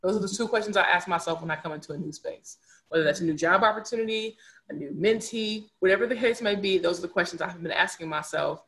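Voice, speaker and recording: A woman's voice: fairly low pitch, fast, fairly bright tone, low-quality recording. A young adult woman speaking candidly as a podcast guest, earnest and reflective, repeating words for emphasis. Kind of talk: candid conversational storytelling.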